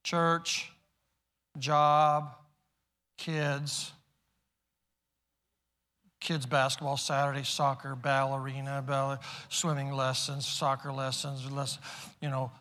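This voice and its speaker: A man preaching a sermon as pastor, moving from loud emphatic calls to quiet, hushed asides. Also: mid-range voice, level tone, slow pace, pauses often. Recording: long reverb of a large hall.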